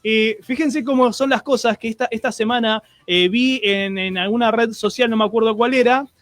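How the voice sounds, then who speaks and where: A man's voice: very high voice, steady tone, normal speed, a bit bright, no echo. A man with an Argentine accent hosting a streamed radio show, chatting and greeting listeners with many restarts and fillers.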